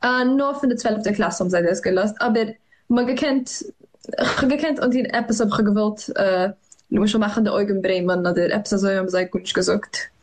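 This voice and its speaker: A young woman, answering interview questions in a halting way, with many fillers and restarts. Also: mid-range voice. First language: Yiddish. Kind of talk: hesitant conversational interview.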